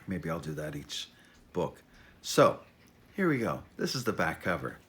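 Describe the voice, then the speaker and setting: A man introducing his own memoir as an author, talking informally and reading dramatically, with halting self-interruptions, wry asides and theatrical emphasis. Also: sits low, very animated, a bit bright.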